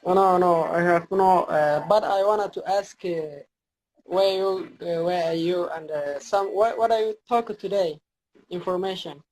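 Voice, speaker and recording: A man's voice: high-pitched, a little mellow, soft background music, poor audio. Hesitant young man. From Somalia. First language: Somali. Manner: halting, hesitant English with many fillers. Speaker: university student, chat guest. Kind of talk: casual video chat.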